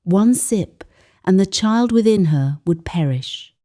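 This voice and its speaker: A woman's voice: mid-range voice, animated, takes it slowly, warm tone. A woman narrating a folktale as a dramatic, scripted storyteller.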